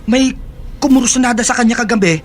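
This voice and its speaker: Agitated high-voiced messenger man. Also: quick pace, soft background music. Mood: distressed, urgent. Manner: hesitant, urgent. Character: agitated man bearing grave news. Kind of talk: radio soap drama acting.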